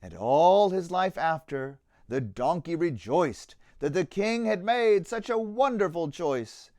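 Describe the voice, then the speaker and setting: Animated, high-voiced man reading to children. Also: slow, no echo, studio quality. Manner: theatrical, rhythmic delivery of rhyming verse. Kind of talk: expressive children's storybook reading.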